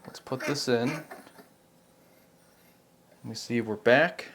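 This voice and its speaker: A man's voice: mid-range voice, slow, a bit bright, a little hiss or hum. Casual American man thinking aloud. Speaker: host of a repair video channel. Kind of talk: unscripted hands-on technical demonstration.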